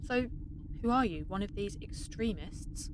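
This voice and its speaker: A woman's voice: mid-range voice, animated, a little slow, noisy background, lightly acted. A woman playing an incredulous, shaken research chief in a sci-fi audio drama, speaking with urgent disbelief.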